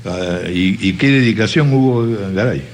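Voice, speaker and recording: A man's voice: on the low side, moderate pace, slight background noise. A man hosting a news interview program, asking probing questions. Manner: inquisitive, probing. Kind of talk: television interview.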